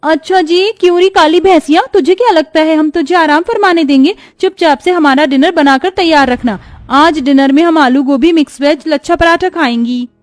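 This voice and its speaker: A woman's voice: sits high, normal speed, hardly any pauses, no room sound, clean studio sound, close to an everyday voice. A woman voicing a bossy, spiteful young stepsister in a melodramatic storybook tale, taunting and haughty.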